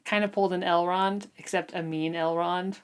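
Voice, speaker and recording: A woman's voice: lowish voice, steady tone, moderate pace, smooth. A woman in her thirties hosting a book discussion podcast in a casual, conversational way, often dropping in "like". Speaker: book club podcast host.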